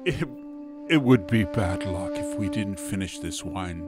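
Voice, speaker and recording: A man's voice: deep voice, lively intonation, unhurried, over music, pitched below the performer's own voice. A man playing a lordly young prince. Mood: courtly. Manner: grand, courtly.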